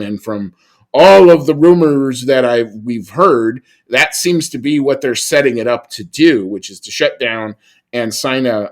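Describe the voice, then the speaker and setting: Male podcast co-host, mid-pitched, relaxed chatty delivery, restarts sentences often. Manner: conversational, frequent restarts and asides. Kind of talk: casual chat.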